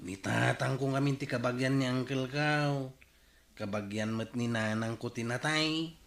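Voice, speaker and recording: A man's voice: medium pitch, moderate pace, fairly bright tone, natural voice. A man playing a reluctant young nephew with an Ilocano accent in a radio comedy drama. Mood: plain. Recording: roomy, echoing studio sound.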